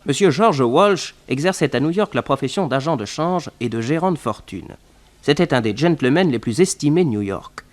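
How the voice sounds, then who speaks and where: An actor narrating a detective serial in early 1960s radio drama style, as a composed storyteller. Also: male voice, a little high, expressive, normal speed, a little hiss or hum, close to an everyday voice. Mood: measured.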